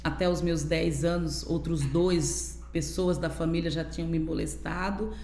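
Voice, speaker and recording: A woman's voice: low voice, steady tone, measured pace, gravelly, a little mellow, echoey room, slight background noise. A woman in her forties from Pernambuco, a guest pastor telling her personal testimony candidly in a podcast conversation. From Pernambuco, Brazil. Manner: earnest, candid storytelling.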